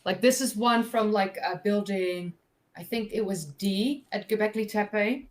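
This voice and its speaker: Brief: woman, co-host on a live history stream, fast and chatty with lots of "like" and restarts, mid-range voice. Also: medium pitch. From Netherlands, North Holland. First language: Dutch. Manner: chatty, quick, many fillers and self-corrections. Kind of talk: casual live-stream chat about archaeology.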